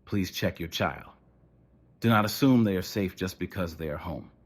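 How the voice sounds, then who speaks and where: Low-pitched man reading an ominous official announcement. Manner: formal, measured, ominous. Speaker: narrator of an analog horror broadcast. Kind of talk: scripted horror narration as an official emergency announcement.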